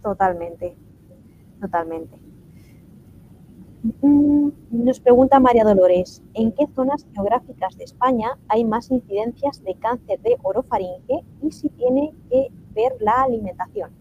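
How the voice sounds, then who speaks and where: A woman's voice: a little high, big pitch swings, a little slow. A woman moderating a live conference Q&A, reading out audience questions and affirming answers. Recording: dull lo-fi sound, faint noise.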